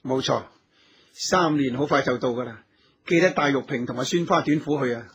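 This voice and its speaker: An actor playing a protective, heroic elder brother in a radio drama, firm and declamatory, with a Hong Kong Cantonese accent. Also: male voice, medium pitch, normal speed, a bit bright, natural voice. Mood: reassuring.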